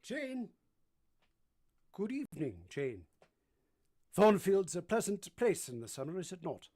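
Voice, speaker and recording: Brooding master of the house, played by an actor: commanding, teasing, ardent. Formal, theatrical delivery for a period radio romance. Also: male voice, high-pitched, sing-song, a little slow, warm tone, full character voice. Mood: probing, teasing. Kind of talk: vintage radio drama acting.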